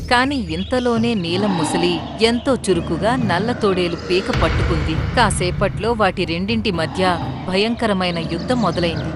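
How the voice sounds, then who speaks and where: A woman's voice: on the low side, normal speed, very noisy. A woman narrating a scripted children's story.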